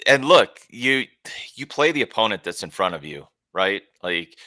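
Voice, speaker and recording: A man with a very bright, lively voice, talking slowly and reacting to a football match. The sound is close and dry. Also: fairly low pitch, dry recording. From New York. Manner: laid-back, laughs often, animated reactions. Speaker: co-host of a fan watchalong. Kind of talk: casual match watchalong chat.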